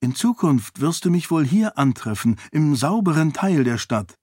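A man's voice: fairly high pitch, sing-song, moderate pace, darkish tone, breathy edge, no echo, studio quality, voice pushed up. A man voicing a determined, affectionate young princess in an audiobook story. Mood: matter-of-fact.

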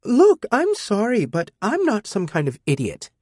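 A man's voice: very high voice, wildly swooping pitch, a little slow, a little mellow, husky, dry recording, clean studio sound, voice pushed up, exaggerated voice. A man putting on a scornful, defiant teenage girl. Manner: sulky, scornful, indignant.

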